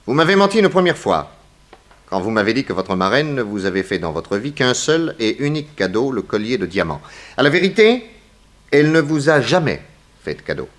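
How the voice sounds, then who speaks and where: A man acts out a shrewd detective from a vintage French radio mystery. His pitch moves boldly up and down as he sets out his case with theatrical authority. He sounds deliberate and sure of his command over the suspects. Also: very animated, lightly acted. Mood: accusing, commanding.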